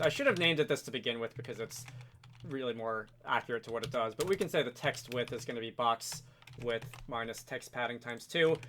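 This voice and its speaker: A man presenting an unscripted software tutorial, thinking aloud and correcting himself as he works. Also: medium pitch, slight background noise. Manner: hesitant, self-correcting, thinking aloud while working. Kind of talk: unscripted screen-recorded software tutorial narration.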